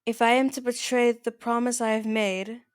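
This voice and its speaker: A woman playing an older mafia boss in an ASMR roleplay, soft-spoken, possessive and quietly menacing, with a halting, intimate delivery. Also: on the high side, steady tone, clear, resonant tone, no room sound, clean studio sound, close to an everyday voice. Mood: solemn, commanding. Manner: halting, stammering, intimate address to the listener.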